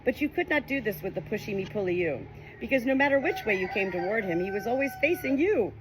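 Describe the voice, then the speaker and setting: A woman reads a children's storybook aloud. Her narration is expressive and informal, with lively rises and falls in pitch. A faint background noise runs behind her. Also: quick pace. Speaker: audiobook reader.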